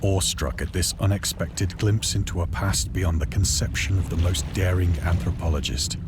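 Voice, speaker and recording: A man playing a haunted, awestruck survivor, narrating an old-fashioned horror tale in a grave, formal, deliberate manner. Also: sits low, noisy background, natural voice. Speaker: narrator of a horror visual novel. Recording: loud sea and boat ambience behind.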